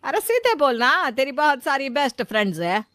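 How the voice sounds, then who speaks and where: A woman's voice: high voice, sing-song, normal speed, fairly bright tone, no echo. A woman voicing a scolding, worldly-wise mother-in-law who lectures in a cartoon moral story. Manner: chiding, lecturing. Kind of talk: acted Hindi moral-story cartoon dialogue.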